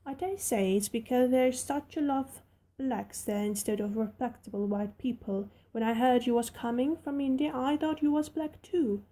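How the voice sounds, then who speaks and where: A woman's voice: on the high side, moderate pace, warm tone, voice pushed up, close to an everyday voice. A woman doing a blunt Yorkshire housemaid. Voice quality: broad dialect, homely and frank. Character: plain-spoken young Yorkshire housemaid, cheerful and blunt.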